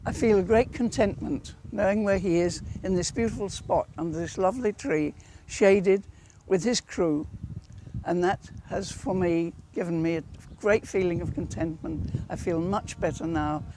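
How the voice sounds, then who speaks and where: A British woman in her eighties reminisces in an interview in a measured, articulate way, recorded outdoors. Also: lowish voice. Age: 80s. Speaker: war widow being interviewed. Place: outdoors at a war cemetery. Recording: noisy outdoor location recording.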